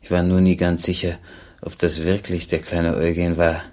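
An older man acting a tired, kindly former robber in a radio play, plain-spoken and husky. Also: deep voice, monotone, normal speed, darkish tone, lightly acted. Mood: plain, wary.

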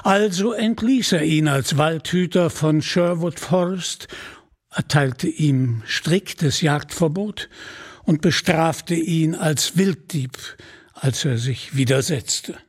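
A man narrating a scripted radio play in a measured, deliberate storytelling manner, with a husky voice. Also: on the high side.

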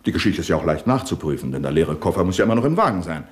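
A stern, probing police commissioner. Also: male voice, deep voice, big pitch swings, very fast. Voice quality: sharp, interrogating, impatient outbursts. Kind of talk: 1970s radio crime play.

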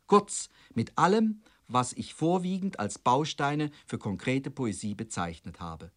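A man with a medium-pitched voice delivers a scripted lecture for radio. He speaks as a commentator, in a formal, measured manner. His long sentences are carefully and precisely phrased. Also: expressive.

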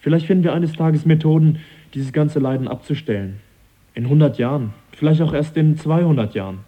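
An actor playing a reserved, sensitive young English naturalist in a radio drama, speaking politely in a measured, understated way. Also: male voice, a little high, dark tone, natural voice. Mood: hesitant, troubled.

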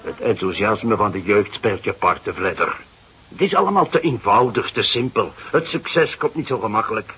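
An actor playing a sceptical, patient older police detective in a radio drama, with a measured, musing delivery. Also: male voice, on the low side, expressive, pushed and bright, husky, exaggerated voice. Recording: noisy radio drama recording.

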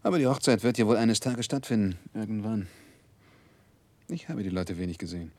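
A self-assured young gentleman in a 1960s radio play, with a very low voice. He talks in a breezy, familiar and offhand manner, as one speaks to an old friend. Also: fairly quick, no echo. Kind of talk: 1960s German radio drama acting.

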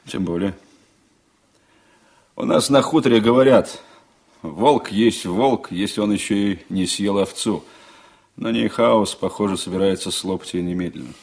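A shrewd, seasoned male sailor in a radio drama. His voice is low, and he talks deliberately and frankly, like a loyal man sharing a confidence, with a wry edge. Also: darkish tone. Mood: wry, knowing. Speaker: actor in a radio play. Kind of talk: 1980s Soviet radio drama acting.

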